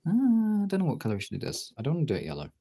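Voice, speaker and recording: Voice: medium pitch, wildly swooping pitch, rapid-fire, warm tone, slight background noise. A British streamer chatting casually and informally to viewers, thinking aloud and swearing now and then. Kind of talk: casual livestream chat.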